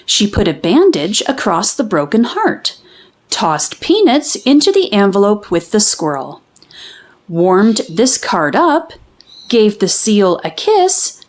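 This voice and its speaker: A woman reading a children's storybook aloud as an expressive narrator. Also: mid-range voice.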